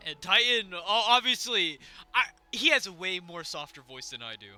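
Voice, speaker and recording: A man streaming a game with a gravelly voice, rambling and swearing as he talks in and out of character. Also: sits high, sing-song, sharp tone. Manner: rambling, halting, swears a lot. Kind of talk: casual in-character game commentary.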